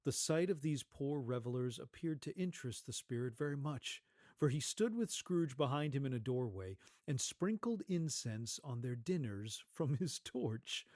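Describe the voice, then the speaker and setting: A man narrating a scripted story as a theatrical, dramatic and expressive storyteller. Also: mid-range voice, normal speed, dry recording, clean studio sound.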